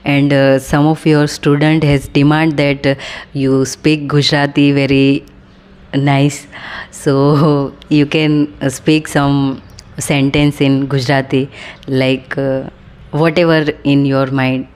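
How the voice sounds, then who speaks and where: A young woman with an Indian English accent asks her questions slowly and hesitantly, in a very low, flat voice. Her tone is smooth and clear. She sits in a small room, heard through a camera set across from her. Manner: hesitant, with many fillers. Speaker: interviewer. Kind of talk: casual interview. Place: small indoor room, seated on a sofa.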